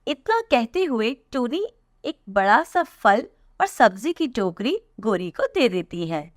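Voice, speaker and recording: A woman's voice: fairly high pitch, very animated, unhurried, dry recording, studio quality. A woman narrating a scripted children's moral story.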